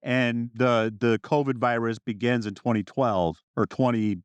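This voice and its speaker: A man's voice: mid-range voice, fairly even intonation, unhurried, clean tone, no echo. A man co-hosting a podcast, talking through ideas in a casual, informal way. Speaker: podcast co-host.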